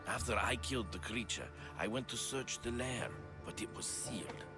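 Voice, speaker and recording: A man's voice: on the low side, lively intonation, moderate pace, music in the background. A man playing a grim, philosophizing mercenary spearman in a video game, solemn and brooding. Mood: grave. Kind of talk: dramatic video game acting.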